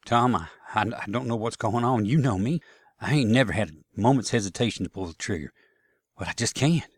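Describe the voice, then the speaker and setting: A man's voice: lowish voice, fairly quick, husky, dry recording, studio quality. A man voicing an ashamed, pleading young Confederate sharpshooter, stammering in a story reading. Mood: hesitant, distressed.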